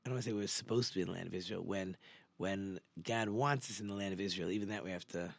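A man's voice: low voice, fast talker, creaky, dry recording. A man giving an informal religious lecture, conversational, with rhetorical questions and emphatic repetition. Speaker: religious teacher giving a Torah class.

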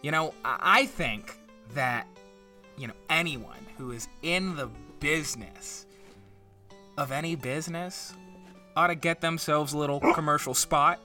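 A man's voice: a little high, measured pace, bright, forceful tone, far from the mic, soft background music. A man playing a dramatic, rambling, self-pitying podcast co-host and aspiring writer in an improvised comedy. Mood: upbeat promotion. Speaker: book review podcast co-host.

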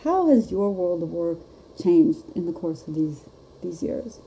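A woman's voice: lowish voice, big pitch swings, a little slow, dark tone, a little hiss or hum, low-quality recording. A woman hosting a live podcast chat, talking casually and laughing often. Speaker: podcast host.

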